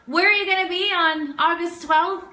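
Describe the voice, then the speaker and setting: A woman's voice: very high voice, normal speed, pushed and bright, slight background noise. A woman hosting a casual live show, chatting in a gushing, appreciative way. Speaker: host. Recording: roomy live room, music behind.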